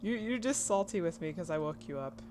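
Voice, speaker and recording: A woman with a husky voice chats casually to her viewers during a live art stream. She rambles, trails off mid-thought and starts over, often breaking into laughter. Steady background noise sits under her voice. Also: lowish voice, a little fast. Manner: chats to an online audience.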